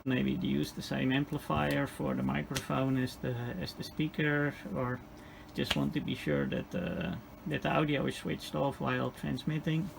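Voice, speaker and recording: A man giving a hands-on repair demonstration, muttering haltingly and tentatively through each step as he thinks aloud. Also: medium pitch, flat delivery, vocal fry, noisy background. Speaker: host of a radio repair video. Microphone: handheld camera.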